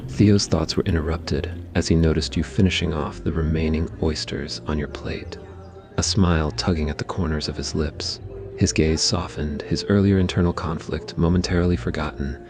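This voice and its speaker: A man's voice: extremely low pitch, steady tone, moderate pace. A man narrating a scripted romantic ASMR story.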